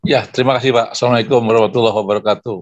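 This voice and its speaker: A man's voice: medium pitch, steady tone, rapid-fire, crowd noise. A man with an Indonesian accent, a noodle seller taking part in a video call, asking a question hesitantly and searching for words. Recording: dull, dry call audio.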